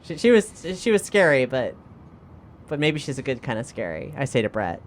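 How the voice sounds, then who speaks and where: A man's voice: medium pitch, sing-song, moderate pace, slight background noise. A man chatting informally and inquisitively as a player in a tabletop roleplaying game. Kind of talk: casual improvised game chat.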